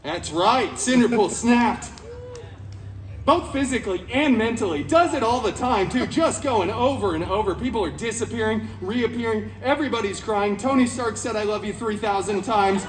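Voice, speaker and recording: A man playing a flamboyant, sarcastic antihero, telling a comic parody fairy tale to an audience with dramatic pauses. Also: high voice, lightly acted. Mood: sarcastic, theatrical. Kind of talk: comic live storytelling to an audience. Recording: roomy, distant live event with music behind.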